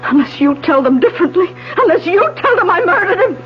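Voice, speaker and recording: An actress playing a distraught, desperate wife in a 1970s radio drama, pleading and faltering melodramatically. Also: female voice, extremely high pitch, sing-song, fast talker. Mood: frantic pleading. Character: distraught, desperate wife who killed her husband. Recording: dull 1970s AM radio broadcast, faint music.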